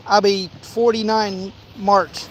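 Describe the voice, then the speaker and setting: High-voiced man in his forties, chatting casually. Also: steady tone, drawn-out words, fairly bright tone. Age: 40s. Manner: rambling anecdotes, acting out quoted exchanges. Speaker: fishing vlogger and livestreamer. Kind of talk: casual chat while fishing. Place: outdoors by a pond. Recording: some outdoor ambient noise.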